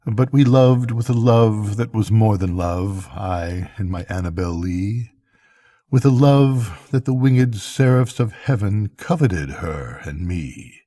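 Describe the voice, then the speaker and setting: Older grandpa type guy reading poems at bedtime, mellow kinda raspy voice, takes it real slow and solemn. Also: lowish voice, lively intonation, no echo. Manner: measured, solemn, with pauses between phrases. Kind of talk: slow dramatic poetry reading.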